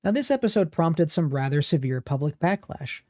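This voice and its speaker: Dry, mellow male history narrator. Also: fairly high pitch, moderate pace, no echo. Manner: steady, formal. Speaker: podcast host.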